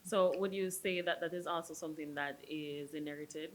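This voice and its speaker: Low-voiced woman host. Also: fairly even intonation, normal speed. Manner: encouraging, short backchannels. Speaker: talent show host. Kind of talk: interview hosting.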